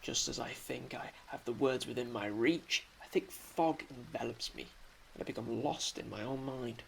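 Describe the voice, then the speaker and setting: An actor playing a frustrated, weary soldier poet in a radio drama, speaking in a brooding, curt way with sighs. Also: male voice, medium pitch, normal speed, gravelly, slight background noise, natural voice, low-quality recording.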